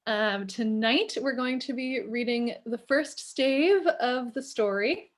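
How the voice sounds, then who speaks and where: A woman hosting an online community event with an informal welcome, speaking in a somewhat halting way. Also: on the high side. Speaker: host, assistant library director.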